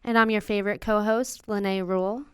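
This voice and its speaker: A woman's voice: mid-range voice, steady tone, moderate pace, a bit bright, clear, resonant tone, no echo, studio quality. A young woman co-hosting a podcast in a casual, chatty style. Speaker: podcast co-host. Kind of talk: casual banter and interviewing.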